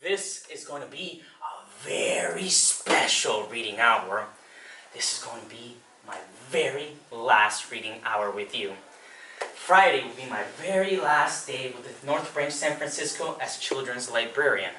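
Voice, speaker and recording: A man's voice: medium pitch, lively intonation, a little slow, sharp tone. A man playing a flamboyant, chirpy children's librarian with a defiant streak, talking sing-song to kids in a theatrical stage monologue. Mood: wry, pointed. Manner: sing-song, exaggeratedly cheery, addressing small children. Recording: distant mic, roomy echo, faint noise.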